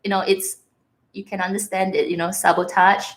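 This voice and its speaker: A woman's voice: mid-range voice, flat delivery, normal speed. A young woman from Malaysia with a Malaysian English accent and bits of Singlish, chatting casually on a livestream, laughing often and reading out viewer comments. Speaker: livestream host.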